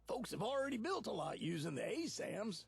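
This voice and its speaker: An actor playing a hopeful, friendly old settler in a video game, with a gravelly, raspy voice and an excited, folksy manner. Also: male voice, high-pitched, normal speed, no echo, heavily acted. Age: older.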